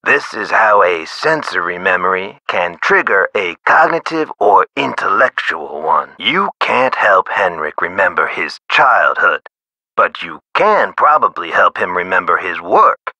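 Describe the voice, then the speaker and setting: A man's voice: deep voice, big pitch swings, measured pace, sharp tone, no echo, heavily acted. A man playing a chatty, eccentric hospital nurse in a video game, explaining things with theatrical, whimsical emphasis. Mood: earnest, explanatory. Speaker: nurse character in an adventure game.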